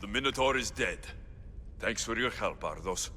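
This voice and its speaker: An actor playing a steady mercenary hero who speaks calmly and bluntly in a game cutscene. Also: male voice, lowish voice, moderate pace, a little hiss or hum. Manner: calm, blunt. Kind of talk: video game cutscene acting.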